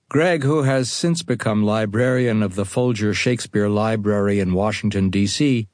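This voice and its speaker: A man's voice: mid-range voice, no echo. A man with an American accent narrating a first-person account for an audiobook, as an author reading his own writing.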